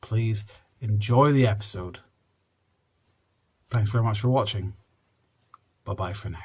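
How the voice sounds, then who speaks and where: A man's voice: fairly low pitch, measured pace, a little mellow, breathy edge. A man earnestly introducing a storytelling podcast as its host. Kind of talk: presenter introduction to camera or audience.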